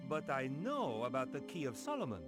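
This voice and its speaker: A man's voice: mid-range voice, wildly swooping pitch, brisk, over music. An actor playing an urbane, theatrical and knowing male scholar in video game dialogue. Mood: intrigued.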